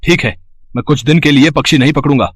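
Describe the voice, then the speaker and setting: Male actor playing a hot-headed cartoon village husband: blunt, grudging, gruff, dry recording. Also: on the high side, quick pace, no room sound. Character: gruff, short-tempered village bird-catcher husband. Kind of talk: Hindi moral-story cartoon voice acting.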